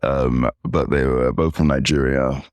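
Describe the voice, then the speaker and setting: English man, forties, podcast guest; animated storyteller, very low voice, dry sound. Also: measured pace, no room sound. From United Kingdom. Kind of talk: casual interview chat.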